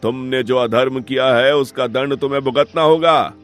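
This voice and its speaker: A man's voice: mid-range voice, moderate pace, fairly bright tone, smooth, soft background music, lightly acted. Casting: male actor for authoritative fatherly headman, emphatic and righteous, cartoon village melodrama. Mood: outraged, stern. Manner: commanding, emphatic, solemn. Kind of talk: melodramatic cartoon moral-story acting.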